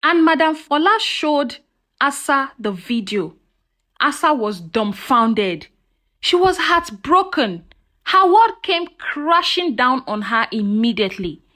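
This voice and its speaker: A woman narrates a folktale in a high, very lively voice. Her delivery is dramatic and earnest, shaped as scripted storytelling for listeners. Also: takes it slowly.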